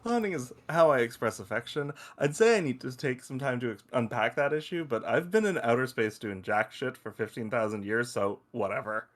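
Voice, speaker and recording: A man's voice: medium pitch, a little fast, few pauses, natural voice. A man playing a crude, teasing space probe who loves football and shows off as he explains, in a table-read audio drama. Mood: casual, amused. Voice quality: animated, swooping delivery. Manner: foul-mouthed, teasing, showy explainer.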